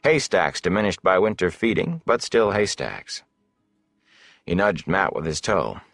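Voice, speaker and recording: A man's voice: low voice, very animated, measured pace, vocal fry, a bit bright, dry recording, clean studio sound, soft background music. A man narrating a fantasy novel as a scripted audiobook reading.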